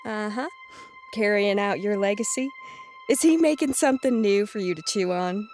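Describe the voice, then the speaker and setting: A woman's voice: mid-range voice, normal speed, darkish tone, faint music behind, close to an everyday voice. A woman playing a sardonic, resentful rival in a scripted audio drama, theatrical and sarcastic. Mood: mocking, bitter.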